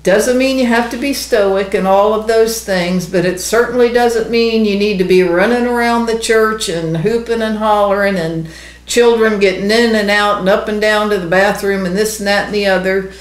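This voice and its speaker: An older woman, in her sixties, speaks with a medium-pitched voice. She teaches scripture conversationally, stressing her points earnestly. The room adds a clear echo. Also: steady tone, moderate pace. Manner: earnest, emphatic. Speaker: ministry co-host, Bible teacher. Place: small indoor room. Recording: roomy, echoey indoor sound.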